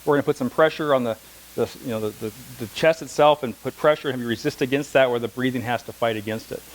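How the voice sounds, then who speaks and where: A man's voice: mid-range voice, fast, slight background noise, poor audio. A middle-aged man teaching a massage class, lecturing conversationally with anecdotes and asides in a classroom, speaking without a microphone. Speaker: massage instructor.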